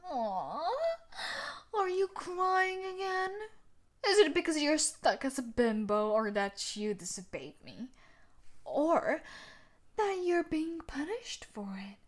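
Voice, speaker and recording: Girl playing a tough yandere bully chick, kinda high voice, slow n taunting, possessive and cruel, audio roleplay. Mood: mocking, gloating. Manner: taunting, domineering, with breathy sighs and soft laughs. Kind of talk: roleplay audio drama monologue addressed to the listener.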